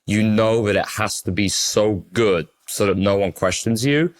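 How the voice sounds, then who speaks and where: An English man with a fairly low voice talks through his coaching views in a relaxed interview. He speaks fluently and with emphasis, doubling words when he wants to stress a point. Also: unhurried. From England. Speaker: basketball coach, interview guest. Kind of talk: casual conversational interview.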